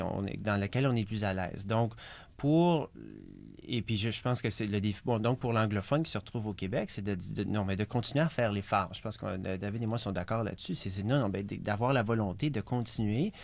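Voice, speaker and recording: A man's voice: fairly low pitch, fast, a little mellow. A man answering interview questions as head of an association, hesitant and often repeating words as he searches for them. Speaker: president of a regional association.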